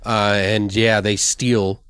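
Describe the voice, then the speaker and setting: A man with a fairly low voice chats loosely as a podcast co-host, joking and swearing as he throws out ideas. The sound is close and dry. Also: level tone, slow pace, a bit bright, clean tone, no room sound. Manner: foul-mouthed, joking. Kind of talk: casual brainstorming chat.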